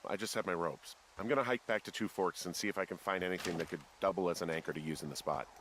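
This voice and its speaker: A man plays an earnest American fire lookout in a naturalistic video game. His voice sits on the low side, conversational and a little halting, with dry humor. Clear ambient noise runs behind him. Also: natural voice. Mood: matter-of-fact.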